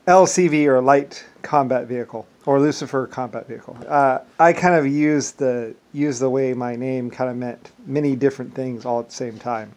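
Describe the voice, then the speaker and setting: A man in his forties talking casually to camera in a rambling, halting way, often repeating words for emphasis. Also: medium pitch, darkish tone. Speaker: vlogger. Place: small wood-furnished room at home. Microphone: phone or webcam at arm's length.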